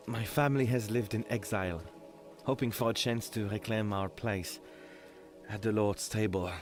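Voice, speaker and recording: Man acting stoic young crusader-era archer, low, solemn, emotionless, formal, audiobook, faint music. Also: lightly acted. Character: stern, pious, emotionless young medieval male archer.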